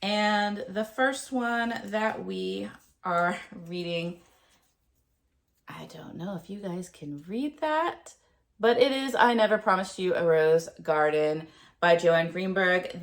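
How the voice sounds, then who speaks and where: A woman in her thirties chats to camera about her reading plans, sounding a little nasal and gravelly from a cold. Her voice sits on the low side, and she talks loosely, with plenty of ums and uhs. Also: slow. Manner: chatty, with frequent ums and uhs. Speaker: book vlogger. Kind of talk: casual chat to camera.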